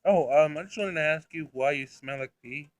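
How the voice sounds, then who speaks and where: A man's voice: on the high side, moderate pace, no room sound, lightly acted. A man voicing a curious, blunt seven-year-old girl with childish, direct speech in a visual novel. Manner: childish, simple, direct wording.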